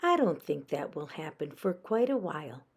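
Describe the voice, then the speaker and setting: A woman's voice: low-pitched, big pitch swings, normal speed, husky, exaggerated voice. A woman voicing a caring, patient mother animal in a children's storybook, soothing and encouraging.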